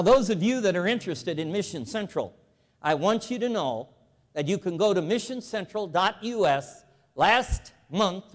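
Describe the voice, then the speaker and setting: A man in his seventies giving a lively, folksy, emphatic storytelling speech to a church convention, walking the floor with a lapel mic. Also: sits high, long reverb tail. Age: 70s. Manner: animated, folksy, emphatic repetition. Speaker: convention speaker. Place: large convention hall.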